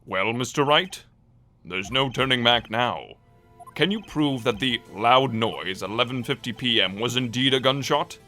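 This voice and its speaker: A man voicing a pompous, easily puzzled elderly courtroom judge, deliberate and bemused, in a game fan dub. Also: mid-range voice, pushed and bright, close to an everyday voice. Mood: pondering. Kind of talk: fan-dub visual novel voice acting. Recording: voice over game music. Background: game music.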